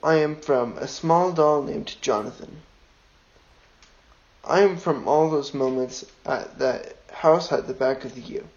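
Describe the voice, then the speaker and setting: Man with a higher voice, slow careful poem reading, muffled lo-fi sound. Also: a little mellow. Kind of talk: reading a personal poem aloud. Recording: dull lo-fi recording.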